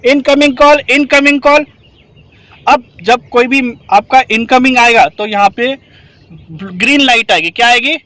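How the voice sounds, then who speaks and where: A man's voice: very high voice, slow, pushed and bright, dry recording, low-quality recording. A man, a rescue trainer, explaining a device step by step and asking his class to confirm. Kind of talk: instructional demonstration.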